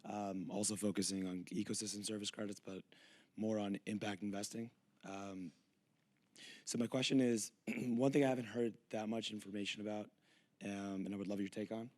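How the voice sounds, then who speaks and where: A hoarse man in the audience asks a long, earnest question. His voice is fairly low and stays flat in pitch. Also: normal speed. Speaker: audience member asking a question.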